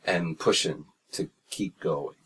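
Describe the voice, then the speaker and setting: Man, very deep voice, interviewer, conversational, encouraging, studio. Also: steady tone, pushed and bright, slight background noise, low-quality recording. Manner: encouraging, quick affirmations. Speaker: interviewer, musician. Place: recording studio.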